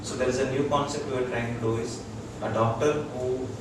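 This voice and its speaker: A man's voice: mid-range voice, steady tone, fairly quick. Indian-accented man lecturing in echoey room. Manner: explains in short repeated phrases, checks that listeners follow. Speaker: doctor teaching integrative medicine. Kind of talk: informal lecture to a small group. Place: room with a small audience. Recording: echoey room, distant mic, noisy.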